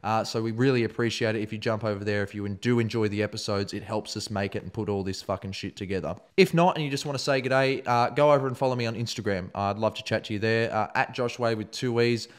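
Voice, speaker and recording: A man's voice: fairly low pitch, fast, hardly any pauses. Young Australian man, casual and sweary. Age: young adult. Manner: swears often, loose and jokey. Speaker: podcast host. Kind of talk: casual interview chat.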